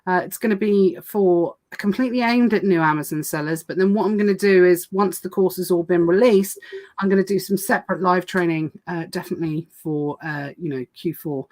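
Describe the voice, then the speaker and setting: Woman, livestream host, hoarse voice, chatty with many fillers, lowish pitch. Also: fairly quick, a little mellow. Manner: chatty, lots of fillers and restarts. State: hoarse, losing her voice. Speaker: podcast host. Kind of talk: casual livestream chat.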